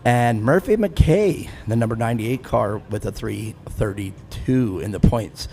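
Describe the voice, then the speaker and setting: A man's voice: on the low side, lively intonation, measured pace, warm tone. A man in his fifties announcing an off-road race live from the grandstand, chatty and self-deprecating with frequent laughs. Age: 50s. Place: grandstand at an outdoor race track.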